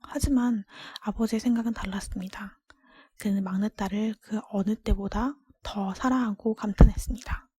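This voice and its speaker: Female ASMR storybook reader, fully whispered and airy, mellow tone, gentle measured delivery, intimate dry close-mic sound. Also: mid-range voice, steady tone, no room sound. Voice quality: breathy, unvoiced whisper. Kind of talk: whispered ASMR fairy-tale reading. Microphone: close microphone, dry.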